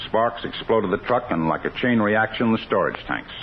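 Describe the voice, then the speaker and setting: A man's voice: fairly low pitch, expressive, normal speed, a little hiss or hum, lightly acted. An American man playing a resolute district attorney who narrates a 1950s radio crime drama in a solemn, declamatory manner. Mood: grave. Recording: muffled vintage radio recording, loud music behind.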